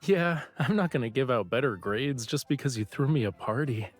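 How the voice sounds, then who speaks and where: A man's voice: medium pitch, animated, moderate pace, natural voice. Guy voicing aloof but playful artsy boyfriend professor, romance game, teasing understated delivery, faint music behind.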